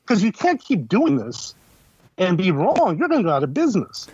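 A man with a slightly high voice explains things as an interview guest. He talks conversationally, setting up questions and answering them himself. The audio is dry and a little dull. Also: wildly swooping pitch, moderate pace, a little mellow, husky, dry recording. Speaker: guest, critic and editor. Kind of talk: casual explanatory interview talk.

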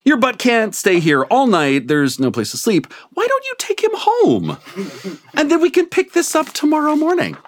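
A man's voice: extremely high pitch, wildly swooping pitch, moderate pace, pitched above the performer's own voice, exaggerated voice. A man voicing an eager, supportive male scientist who acts as a loyal wingman.

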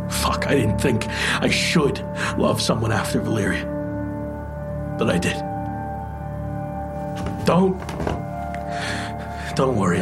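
An actor playing a guilt-ridden, lovesick, weary man in an audio drama, speaking in a halting, subdued, confessional way. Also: fairly low pitch. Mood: pained, lovelorn. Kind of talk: naturalistic audio drama acting.

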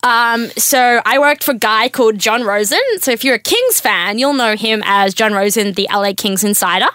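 A woman's voice: on the high side, normal speed, bright, forceful tone, dry recording. A woman in her twenties from Australia with an Australian accent, telling chatty stories as a podcast guest host, full of "like" and "um". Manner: chatty, storytelling, many fillers like "like" and "um". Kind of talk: casual podcast chat.

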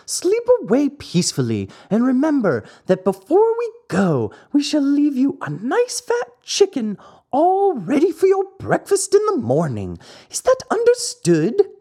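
A sly, scheming weasel thief, put on by a storybook reader, with a high, squeaky voice that swoops up and down as it bargains in a smooth, wheedling tone. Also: huge pitch swings, measured pace, dark tone, full character voice. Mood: coaxing. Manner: wheedling, persuasive.

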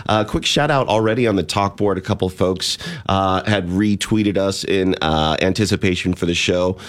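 A man hosting a casual radio music show, talking in a relaxed way with many "uh" fillers. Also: low voice, normal speed. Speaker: radio host.

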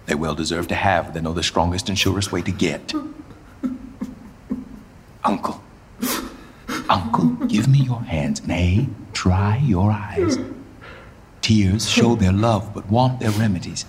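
A man's voice: low-pitched, very animated, slow, pauses often, slight background noise, heavily acted. A man playing a proud, doomed king in a Shakespeare radio play, mocking and wry, dropping to whispers and flaring up. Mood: resigned. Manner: mocking, wry, swings between whispers and outbursts. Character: doomed proud male king, bitter and theatrical.

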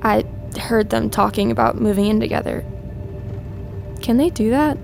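An actress playing a reserved but curious teenage daughter, casual and understated, in a naturalistic audio drama. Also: female voice, fairly high pitch, moderate pace, vocal fry, over music. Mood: pleading, curious.

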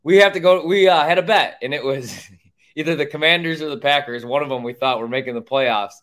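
A man's voice: a little high, brisk, a bit bright. A man co-hosting a casual sports podcast, talking in a blunt, opinionated way and swearing freely.

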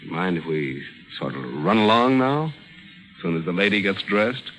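A man's voice: deep voice, big pitch swings, moderate pace, loud music behind the voice, poor audio. A man playing a smug, mock-polite, menacing crook in a 1940s radio crime drama. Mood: taunting. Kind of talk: 1940s radio noir acting. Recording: old 1940s radio recording.

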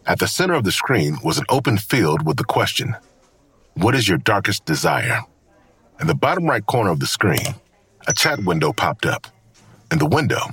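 The narrator of a crime audio drama. His voice sits very low with a strongly husky grain. He tells the story gravely but with lively melody. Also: no room sound, full character voice.

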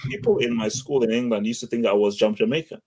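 A man from Nigeria with a Nigerian accent, co-hosting a casual football chat, blunt and animated, often repeating words as he gets going. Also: medium pitch, fast. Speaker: football podcast co-host.

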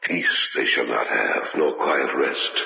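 An actor playing a menacing, devout avenger serving a goddess, slow and solemn in an old-time radio horror play on a noisy, muffled recording. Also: male voice, extremely low pitch, vocal fry, echoey room, long reverb tail, exaggerated voice. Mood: solemn menace.

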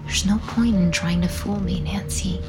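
A woman playing a seductive, menacing vampire in an audio drama, taunting her prey in a breathy, theatrical way. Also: lowish voice, steady tone, normal speed, music in the background, close to an everyday voice. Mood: sly, taunting.

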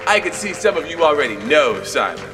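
A man's voice: a little high, sing-song, a little fast, big-room sound, distant mic, over music. An actor playing a defeated, hapless man in a comedy audio drama, insistent and prone to stammering. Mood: showy confession. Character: defeated, overweight, hapless man posing as a criminal.

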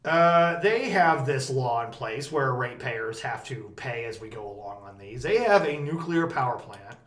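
A man hosting a podcast about energy policy, talking casually with a loud, projecting voice and plenty of emphasis. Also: medium pitch, animated, normal speed. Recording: roomy echo, faint background noise.

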